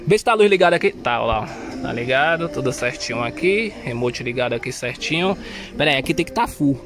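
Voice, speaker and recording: A man's voice: medium pitch, lively intonation, quick pace. A man with a Brazilian accent, chatting slangily and thinking aloud as he installs a car sound system, filmed on a phone inside the car. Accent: Brazilian Portuguese. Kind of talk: casual hands-on DIY narration. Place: inside a car, working on its wiring.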